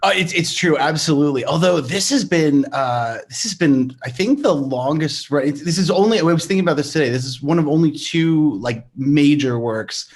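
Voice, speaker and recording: A man's voice: a little high, moderate pace, hardly any pauses. A man chatting as a show guest, thoughtful and slightly hesitant. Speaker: sound designer, guest. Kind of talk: casual interview chat.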